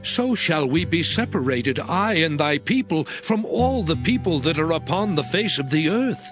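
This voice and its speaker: A man's voice: fairly high pitch, big pitch swings, normal speed, a bit bright. A man playing an earnest, pleading prophet in a dramatized scripture reading, with declamatory delivery. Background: music.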